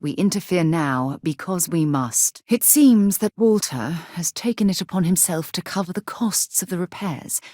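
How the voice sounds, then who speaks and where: An actress playing an earnest, principled woman space explorer in a video game, speaking in an articulate, expressive way. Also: fairly low pitch, normal speed, few pauses, no room sound, clean studio sound. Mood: composed, thoughtful. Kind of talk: video game character dialogue.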